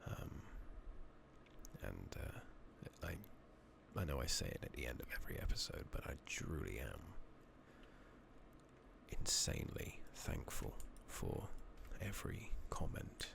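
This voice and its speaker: A soft-spoken man, partly whispering in an ASMR game playthrough style, speaking haltingly with long pauses into a close microphone. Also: rumbling low, creaky. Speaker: game streamer, ASMR host. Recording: steady noise, keyboard typing and clicking.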